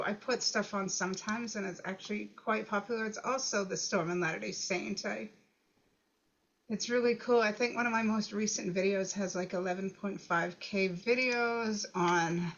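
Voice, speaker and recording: A woman's voice: mid-range voice, a bit bright. A woman with an ordinary mid-range voice introduces herself casually. She talks in a chatty, rambling way, and the sound is dull and lo-fi. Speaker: actor and freelance writer at a table read. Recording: dull lo-fi call audio.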